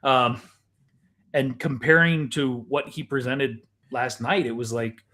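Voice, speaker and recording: A man's voice: mid-range voice, steady tone, takes it slowly, sharp tone. A man giving emphatic, instructive advice in a conversational way, as a podcast host who directs and produces.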